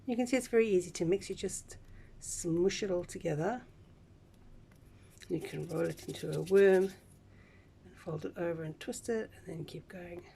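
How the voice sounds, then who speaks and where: A woman with a slightly croaky voice, giving a chatty, relaxed step-by-step craft tutorial with small asides. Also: lowish voice, normal speed, long pauses. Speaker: craft instructor.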